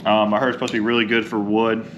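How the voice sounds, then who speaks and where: Man, bright forceful tone, lowish voice, hoarse from a cold, rambling, filler-heavy chat. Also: flat delivery, rapid-fire, slight background noise. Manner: rambling, many ums and uhs. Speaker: fishing vlogger. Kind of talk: casual unboxing talk.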